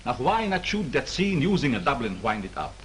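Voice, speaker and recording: A level-headed studio boss, played by a man, talks others round in a soothing, persuasive way. His voice rises and falls with lively pitch. It comes through a faintly hissy 1930s film soundtrack. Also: fairly quick, close to an everyday voice. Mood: reasoning. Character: calm studio executive, middle-aged man.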